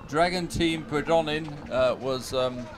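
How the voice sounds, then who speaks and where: A man giving unscripted live sports commentary in a halting, wry, self-deprecating way. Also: a little high, over music.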